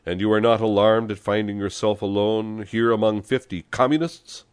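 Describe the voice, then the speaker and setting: A man voicing a big, hot-tempered communist boss in a story, gruff and jeering, given to emphatic outbursts. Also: sits low, normal speed, dry recording, natural voice. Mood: challenging.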